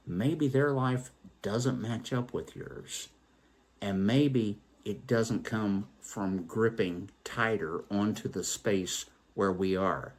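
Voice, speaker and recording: A man telling a story and reflecting on its moral as host, in a theatrical, emphatic, deliberate way. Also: lowish voice. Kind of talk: dramatic poetry recital and moral reflection.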